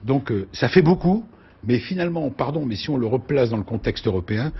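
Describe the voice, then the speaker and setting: Male TV host, husky voice, brisk with figures, dull broadcast audio. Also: medium pitch. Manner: lively interviewer, reads out figures, quick asides. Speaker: TV show host. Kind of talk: business TV panel hosting. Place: TV studio.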